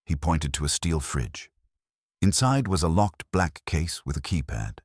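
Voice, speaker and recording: A man's voice: very deep voice, expressive, measured pace, slightly rough, no room sound, studio quality. A man narrating a hard-boiled first-person detective story in a wry, storytelling manner.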